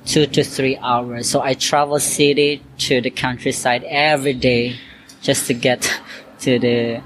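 A man's voice: mid-range voice, level tone, a little slow, fairly bright tone. A man from Cebu in the Philippines, answering interview questions in a chatty, loosely phrased way full of "like" and "you know". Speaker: interviewee, accountant and Zumba instructor. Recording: noisy background hiss.

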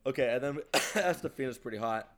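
A man's voice: medium pitch, lively intonation, fairly quick, noisy background. Hesitant man in casual crude chat. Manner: distracted, hesitant, restarts often.

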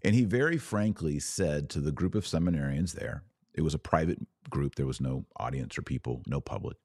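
Very deep-voiced man teaching religion. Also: darkish tone, slightly rough, dry recording, clean studio sound. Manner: didactic, deliberate, with emphatic pauses between phrases. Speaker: podcast host and religious teacher.

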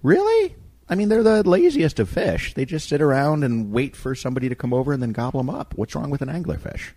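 A man hosting a talk show with jokey, animated banter and conversational interviewing. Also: on the high side, fast talker. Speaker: radio talk show host.

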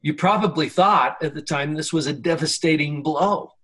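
A man's voice: fairly high pitch, normal speed, a bit bright, breathy edge. A man hosting an interview who keeps affirming his guest and summing up what the guest has said. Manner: affirming, sums up the guest's points. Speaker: interview host. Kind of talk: conversational interview.

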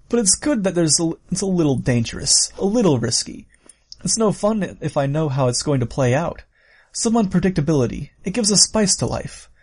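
A man's voice: a little high, a little mellow, voice pushed up. A man voicing a sadistic, playful villain in an audiobook story, musing and taunting in a theatrical way. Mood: amused menace. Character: sadistic, playful adult male killer, theatrically menacing villain.

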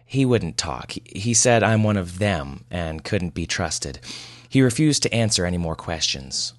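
A man's voice: deep voice, big pitch swings, unhurried, no room sound, clean studio sound. A man voicing a blunt, wry, flirtatious female receptionist in an audio story. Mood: incredulous.

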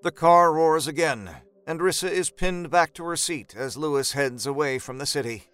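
A man's voice: a little high, moderate pace, a bit bright, smooth, faint music behind. A man narrating a scripted horror story in a dramatic storytelling style.

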